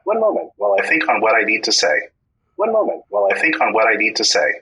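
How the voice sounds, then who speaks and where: A man playing an earnest, awkward Buddhist monk who confesses nervously and haltingly on a comedy radio call-in. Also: on the low side, big pitch swings, gravelly, sharp tone, husky, heavily acted, low-quality recording. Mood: nervous, smitten.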